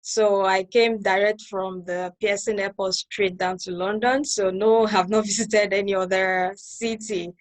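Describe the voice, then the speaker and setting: A woman's voice: mid-range voice, little pitch movement, unhurried, hardly any pauses. A woman from Lagos with a Nigerian accent, being interviewed over a video call and explaining things conversationally, circling back to clarify. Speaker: interviewee, new church member. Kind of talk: conversational personal account.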